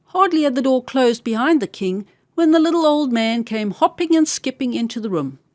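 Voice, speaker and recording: High, very animated woman storyteller. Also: moderate pace, no echo, clean studio sound. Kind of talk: scripted fairy-tale narration for children.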